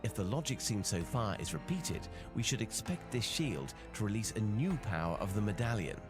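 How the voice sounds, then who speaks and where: A low male voice with lively swings of pitch, a man acting a commanding gentleman detective in a video game. He speaks formally and with assurance, with a wry, teasing edge. Music plays clearly behind him. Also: normal speed, natural voice. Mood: assured.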